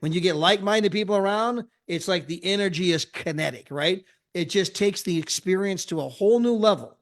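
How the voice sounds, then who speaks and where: An upbeat American man with a fairly high voice pitches travel deals to a crowd of listeners. He talks energetically and keeps tossing in "right?" and "okay?" to hold their attention. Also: brisk. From United States. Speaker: travel club presenter. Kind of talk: sales pitch presentation.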